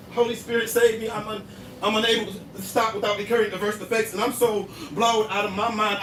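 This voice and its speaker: A man performing a spoken word poem at a slam, with a rhythmic, intense delivery full of wordplay, recorded live at an indoor venue. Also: high-pitched. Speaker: slam poet. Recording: roomy, distant live venue sound with noise.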